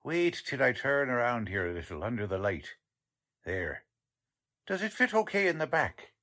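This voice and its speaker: Man playing a lively, folksy police lieutenant. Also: mid-range voice, normal speed. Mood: eager, proud. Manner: folksy, ungrammatical, good-natured bluster. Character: cheerful, proud, unpolished newly promoted police lieutenant. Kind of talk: 1940s radio drama acting.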